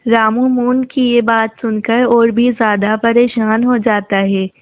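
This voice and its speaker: A woman's voice: on the high side, level tone, unhurried, no room sound. A woman narrating a scripted moral story for children as a storyteller. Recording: thin, phone-like, dry audio.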